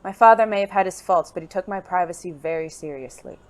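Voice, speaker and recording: An actor playing a suave, genteel gentleman secret agent in an audio drama, with formal, refined phrasing and a playful, flirtatious, teasing manner. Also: medium pitch, quick pace, slight background noise, close to an everyday voice. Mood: composed, explaining.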